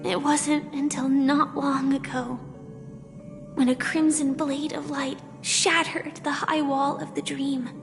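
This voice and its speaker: An actress voicing a gentle young woman who haltingly confesses a hidden identity in a video game, in a soft, earnest way. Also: high-pitched, little pitch movement, vocal fry, full character voice. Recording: game audio with reverb and music.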